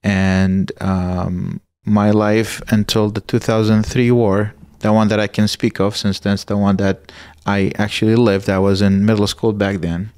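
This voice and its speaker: A man in his thirties from Iraq, a guest telling his own story in a podcast interview, earnest and halting, with many fillers and restarts, at a boom-arm mic in a small studio room. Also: level tone, a little mellow, smooth, no echo.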